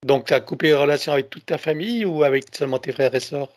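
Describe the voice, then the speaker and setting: A man in his sixties, a hypnotherapist on a headset video call, asking calm probing questions between short acknowledgments. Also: on the high side, fast, dry recording, studio quality. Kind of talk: therapy intake interview.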